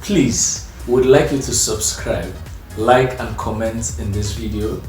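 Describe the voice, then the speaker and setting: A man's voice: lowish voice, steady tone, slow, darkish tone, distant mic, faint music behind. A man, hosting a casual interview in a friendly, upbeat way. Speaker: host. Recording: echoey room, voice off-mic.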